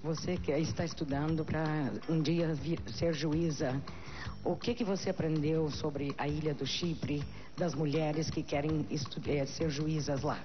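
An interpreter relaying questions and answers on a pageant stage in a brisk, businesslike way. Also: medium pitch, steady tone. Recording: muffled broadcast with music.

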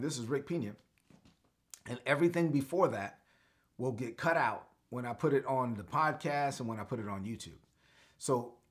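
A middle-aged man from Brooklyn, a pastor giving a live-streamed daily sermon from a home studio, earnest and declarative, repeating phrases for his viewers to echo. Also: mid-range voice. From Brooklyn, New York. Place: home studio with lit shelves.

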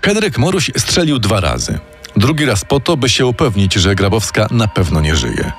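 A man reading a scripted true-crime story in a measured, dramatic storytelling manner. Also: fairly low pitch, big pitch swings. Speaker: narrator. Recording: music playing underneath.

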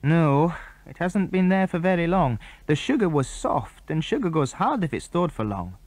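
A man's voice: on the high side, big pitch swings, moderate pace, a little mellow, dry recording, voice pushed up. A man with a British accent voicing a sensible, cautious older boy in a children's storybook, firm and matter-of-fact.

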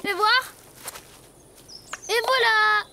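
A performer voicing an excitable little child donkey, a playful friend in a preschool cartoon, with an animated childlike delivery. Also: very high-pitched, drawn-out words, exaggerated voice. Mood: boastful, eager. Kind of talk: preschool cartoon voice acting in French. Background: loud cartoon music.

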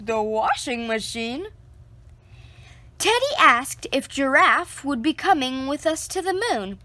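A woman reading a children's picture book aloud, slow and expressive, close to the camera indoors. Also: no echo. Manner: slow, expressive storytelling with lively pitch.

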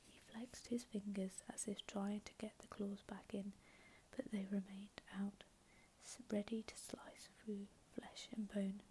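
A woman reading a novel aloud in a soft, unvoiced ASMR whisper. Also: medium pitch, little pitch movement, measured pace, a little hiss or hum, rough recording. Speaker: narrator.

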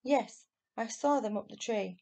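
A woman voicing an excitable, earnest and insistent young boy in a children's storybook reading. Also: a little high, measured pace, gravelly. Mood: earnest, excited.

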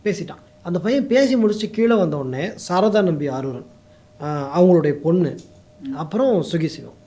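A man's voice: sits high, expressive, moderate pace, dark tone. A man with a Tamil Nadu accent giving opinionated interview answers as a guest commentator, mixing in English words. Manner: code-mixes English words into speech.

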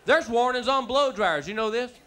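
Bright-voiced Texan man doing stand-up. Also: very high voice, expressive, a little fast, some chatter behind, a little hiss or hum. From Texas. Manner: drawling storyteller, slips into silly character voices. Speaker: stand-up comedian. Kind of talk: stand-up comedy routine. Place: theater stage before a live audience. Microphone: handheld microphone. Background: audience laughter.

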